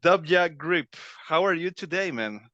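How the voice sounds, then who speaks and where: A man hosting a podcast interview over a video call, asking questions in friendly, slightly halting phrasing. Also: fairly high pitch, animated, pushed and bright, no echo. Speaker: podcast host. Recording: dull, lo-fi audio.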